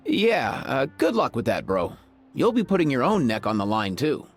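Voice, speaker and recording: An actor voicing an easygoing, teasing young animal vet in a game, who laughs often. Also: male voice, medium pitch, wildly swooping pitch, unhurried, breathy edge, exaggerated voice. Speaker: dragon vet. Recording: game cutscene with music behind.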